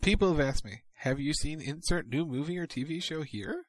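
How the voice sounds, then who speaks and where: A man's voice: a little high, animated, fairly quick, dry recording. A man co-hosting a casual wrestling talk radio show, dry and matter-of-fact as he reads listener posts. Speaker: podcast co-host.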